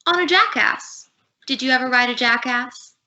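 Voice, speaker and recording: A woman's voice: sits high, moderate pace, sharp tone, muffled recording. A woman playing a haughty, curt and sarcastic glamorous film actress in a 1940s-style radio comedy. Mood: dismissive, sarcastic.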